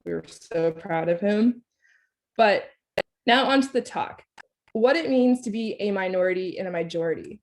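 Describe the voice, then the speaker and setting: A woman in her twenties who grew up speaking Thai, giving a casual, candid talk in a lecture series with frequent ums and likes. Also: mid-range voice. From United States. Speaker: staff researcher giving a lecture.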